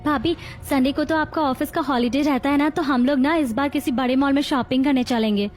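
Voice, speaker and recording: A woman's voice: high voice, steady tone, brisk, close to an everyday voice. A woman playing a wheedling, greedy and spiteful young sister-in-law in an acted family story.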